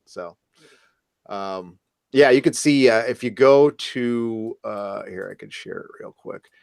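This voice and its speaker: A man co-hosting a casual discussion, rambling and halting as he goes. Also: mid-range voice, creaky, a little mellow, smooth, dry recording, studio quality. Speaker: co-host. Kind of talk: casual chat, reading viewer comments.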